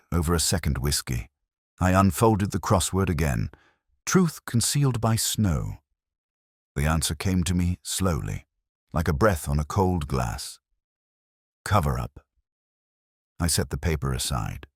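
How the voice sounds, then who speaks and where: A man playing a weary, middle-aged police inspector who narrates his case in a measured, literary, brooding manner. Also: very deep voice, dry recording, studio quality, exaggerated voice. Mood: wry, melancholy. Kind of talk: scripted first-person detective audio drama narration.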